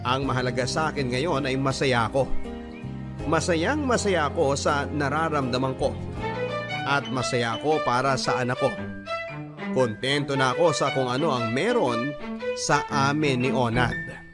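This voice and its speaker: A man reading a first-person love letter as scripted narration in a sentimental, measured radio storytelling style. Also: mid-range voice. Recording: voice over prominent background music.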